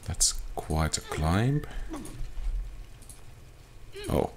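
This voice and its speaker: A man's voice: deep voice, big pitch swings, drawn-out words, noisy background. A man giving casual gameplay commentary, musing and thinking aloud as he plays. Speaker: game streamer. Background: video game music.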